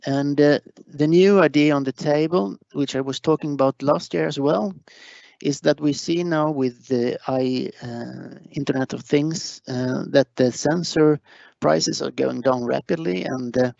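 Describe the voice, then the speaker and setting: A man with a Swedish accent giving a technical online presentation, halting and hesitant, often repeating words as he goes. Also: fairly high pitch, vocal fry, darkish tone, dry recording. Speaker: conference presenter. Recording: muffled online webinar audio.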